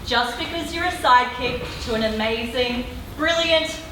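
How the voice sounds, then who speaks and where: A woman's voice: high voice, normal speed, close to an everyday voice. A woman playing a polite, professional interviewer and group leader in an amateur comedy stage play. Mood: encouraging. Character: polite, brisk female interviewer and meeting leader. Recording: echoey hall from far off, very noisy.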